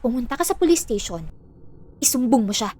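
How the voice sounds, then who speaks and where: A woman's voice: a little high, expressive, a little fast, a little mellow, no room sound. A woman playing a money-hungry, sarcastic and bossy younger sister in an audio drama. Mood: scheming.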